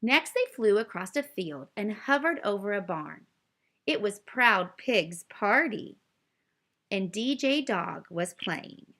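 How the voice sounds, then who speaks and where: A woman reading a children's story aloud, expressive and addressing young listeners directly as she narrates. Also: medium pitch, takes it slowly. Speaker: storybook reader.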